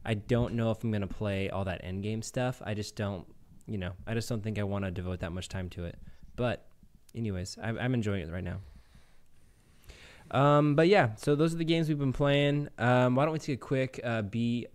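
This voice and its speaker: A man's voice: lowish voice, moderate pace, a little mellow, clean tone. A man hosting a podcast, chatting casually about games in an informal, joking way. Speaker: podcast host. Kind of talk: casual gaming chat.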